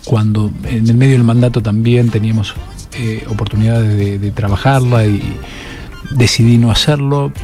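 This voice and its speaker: Measured Argentine man, mellow voice. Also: on the low side, fairly even intonation, soft background music. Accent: Argentine Spanish. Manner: measured, with many fillers and restarts. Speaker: political candidate. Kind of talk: interview answers.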